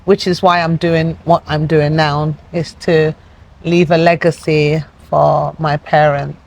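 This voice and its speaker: A woman's voice: deep voice, little pitch movement, slow, creaky, darkish tone. A woman from Nigeria, a guest in an interview, talking earnestly and plainly with frequent fillers. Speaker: interview guest, community project founder. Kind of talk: conversational interview.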